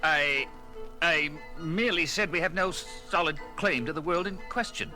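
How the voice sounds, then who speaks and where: A man's voice: on the high side, moderate pace, bright, forceful tone, faint music behind, low-quality recording. A man playing a pompous, condescending alien ambassador in a science fiction audio drama, with a formal manner. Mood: flustered.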